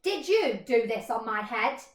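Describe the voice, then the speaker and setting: Lady doing a grumpy little mole boy from a kids book, loud n accusing, swoopy voice, roomy sound. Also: a little high, very animated, unhurried. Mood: indignant. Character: indignant little male mole, short-sighted and insistent. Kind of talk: children's storybook character voice.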